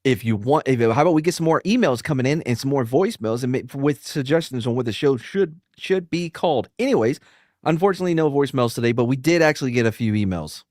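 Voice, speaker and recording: A man's voice: mid-range voice, fast talker, no room sound, clean studio sound. A man hosting a casual podcast, laughing as he reads listener emails aloud. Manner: laughing, animated, reads emails in funny voices. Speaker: podcast host.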